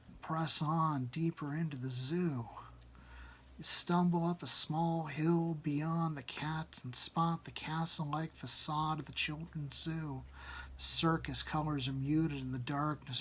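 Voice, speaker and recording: A man narrates a story in a husky voice at a slow pace. His phrasing is deliberate and dramatic, as an author reading his own work. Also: fairly high pitch, a bit bright. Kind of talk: dramatic second-person story narration after casual introduction.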